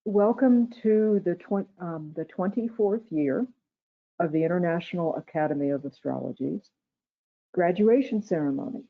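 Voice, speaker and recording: Soft-voiced woman on a phone-like line. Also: lowish voice, moderate pace. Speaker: education director hosting a graduation ceremony. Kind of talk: prepared ceremonial welcome speech. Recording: tinny, phone-like online meeting audio.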